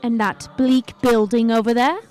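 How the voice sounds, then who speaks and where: A woman playing a calm, ageless spirit in a radio play, speaking formally and solemnly. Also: fairly high pitch, moderate pace, faint music behind, lightly acted. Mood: gently commanding. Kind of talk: old-time radio play acting.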